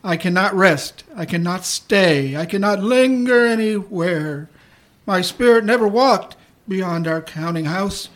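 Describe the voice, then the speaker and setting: A man's voice: high-pitched, expressive, unhurried, fairly bright tone. A man playing a stern, mournful male ghost of a dead businessman in a community radio play, speaking in a solemn, declamatory way. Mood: anguished.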